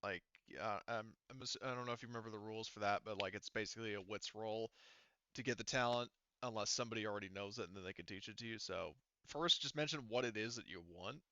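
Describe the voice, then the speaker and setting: A man speaking casually as game master at an online tabletop game, thinking aloud with halting delivery. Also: on the low side, sharp tone, dull audio.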